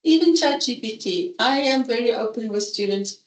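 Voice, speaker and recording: A woman's voice: on the high side, animated, normal speed. A woman professor speaking candidly and conversationally at a seminar, sharing her opinions openly. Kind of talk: seminar talk. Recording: echoey conference room.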